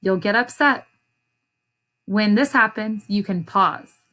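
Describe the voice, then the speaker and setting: A woman reading a children's story aloud as an educator, pausing to ask questions and explain things to young listeners. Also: medium pitch, sharp tone.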